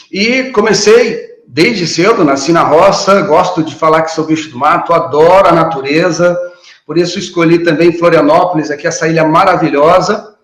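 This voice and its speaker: A man in his fifties with a slightly higher voice speaks earnestly over a video call, hesitating often as he reflects aloud. The sound comes from a noticeably echoey room. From Paraná, Brazil. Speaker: guest speaker, behavioral trainer. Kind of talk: online lecture talk.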